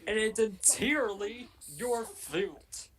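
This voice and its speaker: A woman's voice: on the high side, expressive, takes it slowly. A woman joking and teasing in casual group commentary over a film. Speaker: panelist on a film watch-along. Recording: film and group chatter behind voice.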